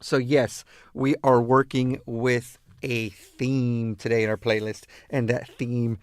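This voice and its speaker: A man from East Los Angeles with an American accent, hosting a casual radio show as disc jockey in drawn-out, halting phrases. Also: medium pitch, level tone, dry recording.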